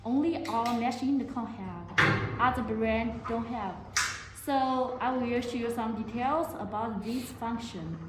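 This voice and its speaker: Slow-paced woman in a very echoey room. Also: fairly high pitch. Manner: halting, non-native English phrasing. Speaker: product demonstrator. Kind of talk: product demonstration to camera. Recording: very echoey room, faint noise.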